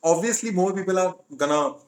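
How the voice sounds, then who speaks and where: A man with an Indian accent talks in casual podcast banter with a slightly raised pitch. He is dry and teasing, and explains things in quick bursts.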